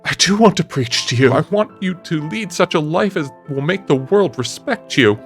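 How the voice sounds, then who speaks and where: A man voicing an earnest, anguished gentleman painter who pleads and moralizes with dramatic emphasis in an audiobook. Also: on the high side, animated, normal speed, faint music behind. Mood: pleading. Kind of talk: dramatic audiobook character reading.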